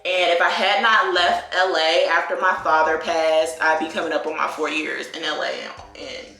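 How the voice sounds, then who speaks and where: A woman's voice: low-pitched, fairly even intonation, moderate pace, pushed and bright. A woman in her twenties from Virginia, chatting casually as a beauty vlogger and talking about her life with lots of likes and y'alls. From Virginia, USA. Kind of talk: casual get-ready-with-me chat. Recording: echoey room with faint music.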